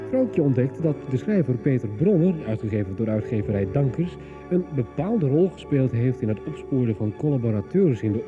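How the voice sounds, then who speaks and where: A man narrating a scripted recap for a radio serial, in a narrow-band recording over music. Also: mid-range voice.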